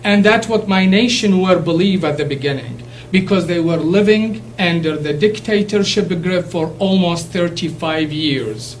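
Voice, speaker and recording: Iraqi-accented man, native Arabic speaker, addressing a class, fairly high voice, earnest and emphatic, recorded from a distance with faint hum. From Iraq. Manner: earnest, emphatic, halting with restarts. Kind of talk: impassioned public speech. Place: classroom or lecture hall.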